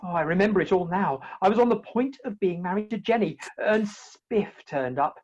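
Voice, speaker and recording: Woman doing a flustered victorian gent in a radio farce, husky, pompous, voice swinging all over. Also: medium pitch, animated, moderate pace, a little mellow, highs cut off, natural voice. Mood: dazed, puzzled. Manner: theatrical, exclamatory, pompous wording. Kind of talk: comic radio play acting. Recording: home video-call recording.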